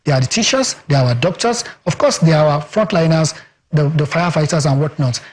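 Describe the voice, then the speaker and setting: A man's voice: fairly high pitch, expressive, a little fast, slightly rough. A Nigerian man with a Yoruba accent, a communications expert talking emphatically as a guest in a TV studio interview. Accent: Nigerian English, Yoruba. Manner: emphatic, rhetorical, leans on "guess what" and "you understand".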